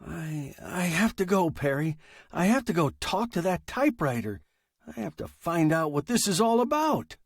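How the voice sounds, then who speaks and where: A man's voice: high-pitched, sing-song, normal speed, no echo, clean studio sound, pitched above the performer's own voice, heavily acted. A man acting a stubborn, flustered male writer in a story, protesting in a melodramatic, emphatic way. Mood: frantic.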